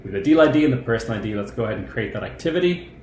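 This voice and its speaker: A man in his thirties walks through a software product step by step in an explanatory way. His voice sits at a middling pitch. It is recorded at a computer in an echoey room with faint noise behind it. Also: animated, very fast. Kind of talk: screen-share tutorial walkthrough. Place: indoor room. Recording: roomy echo, faint background noise.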